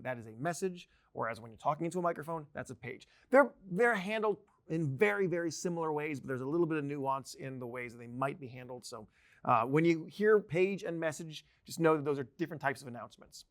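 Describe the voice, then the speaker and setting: A man's voice: on the high side, fairly bright tone. A man in his thirties giving an informal instructional presentation in a conversational, explanatory manner with playful asides. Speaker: training instructor. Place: indoor training video studio, seated at a desk. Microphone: lavalier clipped to shirt.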